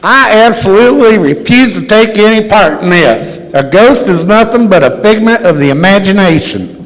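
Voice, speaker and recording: Man acting a high-pitched, blustering skeptic dad. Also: animated, normal speed. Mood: grumbling. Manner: blustering, dismissive, stubborn. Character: grumpy, skeptical American family father. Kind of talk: old-time radio acting on stage. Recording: echoey hall stage recording.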